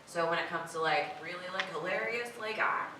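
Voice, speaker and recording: Low, hoarse woman in an echoey hall. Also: a little fast. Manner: chatty and self-deprecating, many fillers. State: hoarse, raspy voice. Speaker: voice actress, convention panel guest. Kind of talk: casual panel Q&A answers. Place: convention panel room. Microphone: filmed by a camera from across the room. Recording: echoey hall from far off with crowd noise.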